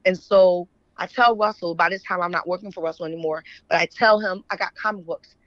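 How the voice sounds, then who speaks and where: A woman's voice: on the low side, steady tone, a little fast, no echo, highs cut off. A woman from Philadelphia with an American accent, telling stories casually as an interview guest and often checking in with the listener. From Philadelphia, USA. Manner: conversational, often checks in with "right?". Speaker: interview guest, author and editor.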